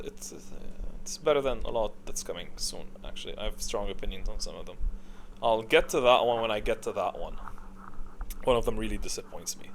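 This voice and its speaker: A man's voice: medium pitch, fairly bright tone. A man hosting a gaming tier list in a casual, rambling way, hesitating and correcting himself as he goes. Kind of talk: casual, rambling opinion commentary.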